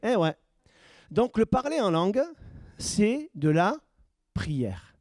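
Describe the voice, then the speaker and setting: A man's voice: high voice, very animated, slow, a little mellow, no echo, clean studio sound. A man preaching as pastor, questioning his congregation and building to emphatic points. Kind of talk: sermon teaching.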